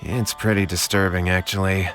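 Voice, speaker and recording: A man's voice: low-pitched, level tone, normal speed, fairly bright tone. A man playing a worried, hapless young man in a video game, earnest and easily flustered. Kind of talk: video game character acting. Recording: music clearly behind the voice.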